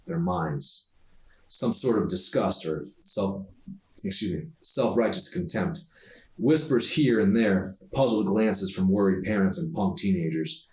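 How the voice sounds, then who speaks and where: A man reading his own novel aloud as an author, in expressive audiobook narration. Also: low voice. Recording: echoey room, distant mic.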